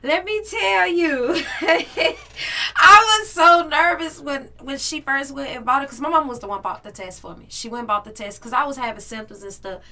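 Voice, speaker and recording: Young woman podcast co-host, high and bright, animated storyteller who keeps laughing. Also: moderate pace. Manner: animated, laughing, addresses listeners directly. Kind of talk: casual storytelling chat.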